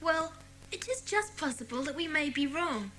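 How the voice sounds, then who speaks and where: A woman's voice: high-pitched, expressive, normal speed, fairly bright tone, low-quality recording. A female performer playing a thoughtful, questioning young schoolgirl in a children's audio drama. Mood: doubtful.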